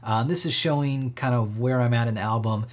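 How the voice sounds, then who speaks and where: A man's voice: medium pitch, fairly quick, very noisy. A man in his thirties hosting a live stream, talking through a casual tech demo in an easygoing, rambling way, thinking aloud. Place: small cluttered indoor room.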